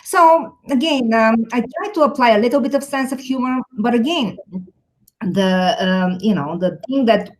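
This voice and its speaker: A woman's voice: fairly high pitch, normal speed, rough recording. Middle-aged woman with a Bulgarian accent. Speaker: interview guest, technology executive. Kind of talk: conversational interview answers with anecdotes.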